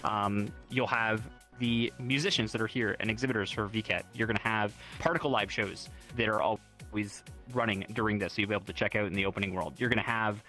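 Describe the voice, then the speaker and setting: A man chats his way through a livestream, upbeat and loose, with plenty of ums and restarts. His voice is bright and forward. Faint music plays underneath. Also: on the low side, fairly even intonation, a little fast. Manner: rambling, upbeat, many fillers and restarts. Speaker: livestream host. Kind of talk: casual livestream chat.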